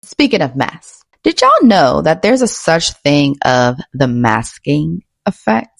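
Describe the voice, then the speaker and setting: A woman with a low voice and plenty of vocal fry delivers a frank personal monologue. She is blunt and emphatic, often restating a phrase in short bursts. The sound is close and dry. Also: big pitch swings, slow, dry recording. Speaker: vlogger and author. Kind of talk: candid personal monologue to camera.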